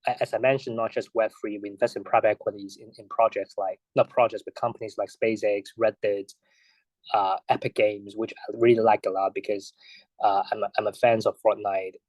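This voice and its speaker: A man in his thirties from Hong Kong, a guest answering interview questions in a halting way with many restarts and fillers. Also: lowish voice, little pitch movement, darkish tone, no echo, rough recording. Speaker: guest, investor.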